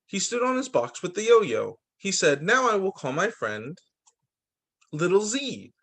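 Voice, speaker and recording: A man teacher reading picture books aloud to young children, with deliberate, sing-song phrasing. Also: sits high, wildly swooping pitch, fairly bright tone.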